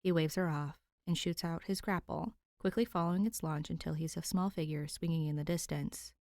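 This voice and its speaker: Woman narrating fan fiction, lowish voice, really dry close sound. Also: fairly even intonation, brisk, darkish tone, dry recording. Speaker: podfic narrator. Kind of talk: scripted story narration.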